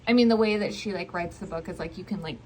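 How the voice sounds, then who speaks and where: A woman's voice: lowish voice, quick pace, mellow. A young woman in a casual book chat, talking informally and hesitantly with many fillers. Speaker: book vlog co-host. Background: ambient noise, people in the distance.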